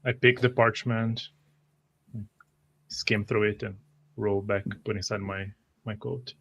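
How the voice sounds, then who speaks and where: A man's voice: on the low side, fairly even intonation, takes it slowly, creaky, a bit bright. A man playing a tabletop roleplaying game, describing his character's actions on a lo-fi microphone. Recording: dull, lo-fi microphone.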